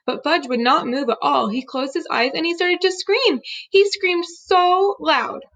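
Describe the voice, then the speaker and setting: A woman reading a children's book aloud as an expressive storyteller. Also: extremely high pitch, unhurried. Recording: dull lo-fi recording.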